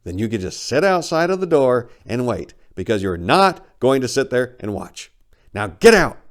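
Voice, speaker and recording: A man playing an exasperated, bossy story hero who shouts emphatic orders in a comic dramatic reading. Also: medium pitch, very animated, normal speed, pitched above the performer's own voice, lightly acted. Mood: irritated.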